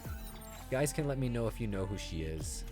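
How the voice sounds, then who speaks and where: Animated man chatting on a game stream. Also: medium pitch, fairly quick. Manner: easygoing, chuckles often while talking. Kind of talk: casual livestream chat, reading viewer comments aloud. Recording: music playing behind the voice.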